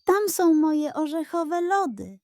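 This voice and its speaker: A woman voicing an excitable, wide-eyed female squirrel in a children's tale, speaking in exclamations. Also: high-pitched, takes it slowly, no echo, voice pushed up, full character voice. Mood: alarmed.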